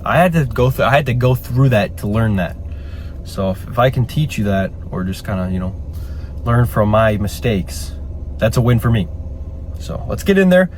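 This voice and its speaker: A man's voice: mid-range voice, lively intonation, normal speed, a little mellow, slight background noise. A young man with a congested voice, talking in a hyped, profane style as a fitness vlogger in a gym. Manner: hyped, profane bro talk, keeps adding "okay?". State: congested from a cold. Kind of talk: casual gym vlog talk.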